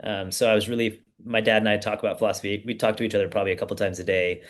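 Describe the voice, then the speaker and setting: A man in his thirties, from the United States, talks through his own story as a podcast guest. His pace is easygoing and full of ums and small asides, and his voice sits a little low. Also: rapid-fire. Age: 30s. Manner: relaxed storytelling with frequent fillers. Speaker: startup founder guest. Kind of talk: casual podcast interview.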